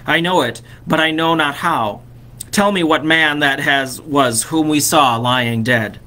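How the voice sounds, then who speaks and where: Man reader doing a scared old miser, bright and pleading. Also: a little high, measured pace. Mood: solemn. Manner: pleading, urgent. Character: old remorseful male miser, terrified.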